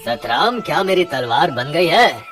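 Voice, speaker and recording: A man performs a noble warrior king from a cartoon moral tale. He sounds dignified and resolute, speaking slowly over loud music. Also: fairly high pitch, sing-song. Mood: gracious.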